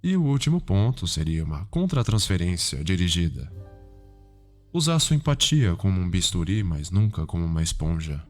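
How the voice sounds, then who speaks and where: A man with a Brazilian accent playing a calm, cultured, sinister psychiatrist in an ASMR roleplay, speaking in a formal, measured, softly probing way. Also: low voice. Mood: clinical, instructive. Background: background music.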